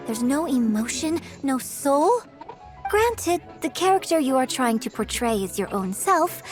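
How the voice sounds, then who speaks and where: A woman playing a poised young opera performer who coaches acting in a video game, articulate, theatrical, exacting but encouraging. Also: sits high. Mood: exacting, critical.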